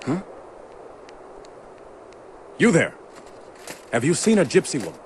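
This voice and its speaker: An actor playing a dutiful, courteous soldier captain in a video game, with military politeness. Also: male voice, fairly high pitch, very animated, slow pace, darkish tone. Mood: commanding. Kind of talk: video game cutscene dialogue. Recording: game audio with clear background noise.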